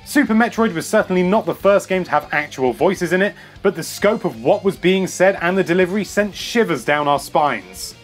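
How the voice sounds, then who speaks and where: British man, high voice, cheeky and enthusiastic, scripted countdown narration, music playing behind. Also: animated, normal speed, few pauses. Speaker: video host.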